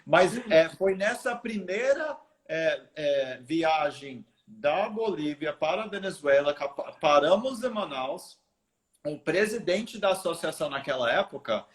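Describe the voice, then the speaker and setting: A man's voice: on the high side, expressive, a little slow. American man telling missionary stories in Portuguese. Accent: American English. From United States. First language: English. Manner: earnest storytelling with many restarts. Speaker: missionary guest. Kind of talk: live video interview.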